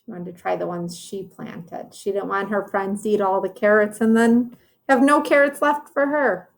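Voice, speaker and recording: A woman's voice: medium pitch, fairly even intonation, normal speed, gravelly. A woman teacher reading a children's storybook aloud, explaining it directly to young listeners.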